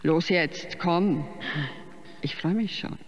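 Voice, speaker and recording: A woman's voice: fairly low pitch, in a large hall, highs cut off. A woman playing a brazen, domineering choir teacher in a radio drama, commanding and suggestive. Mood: pushy, seductive. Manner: commanding, suggestive, slow and drawn-out.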